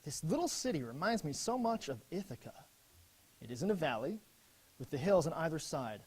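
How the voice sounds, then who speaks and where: An actor playing an earnest young American lieutenant in a dramatic, expressive reading of his letters home from war. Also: a little high, a little fast, husky. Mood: dreamy, peaceful.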